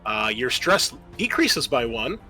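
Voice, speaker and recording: A man's voice: mid-range voice, big pitch swings, unhurried, bright, forceful tone. A man running a tabletop roleplaying game as game master, narrating scenes and explaining the rules to players. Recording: music under the voice. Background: music.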